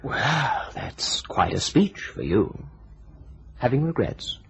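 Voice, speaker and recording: An actor playing a cynical, mocking bridegroom in an old-time radio drama. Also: male voice, mid-range voice, big pitch swings, slow, vocal fry, fairly bright tone, exaggerated voice. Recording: noisy vintage radio recording.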